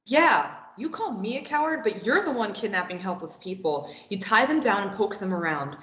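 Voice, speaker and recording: A woman reading a sharp-tongued captive young woman. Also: mid-range voice, lively intonation, roomy sound, highs cut off, a little hiss or hum, close to an everyday voice. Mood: defiant. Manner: quick retorts, tense and pleading when threatened. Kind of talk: table read of a play.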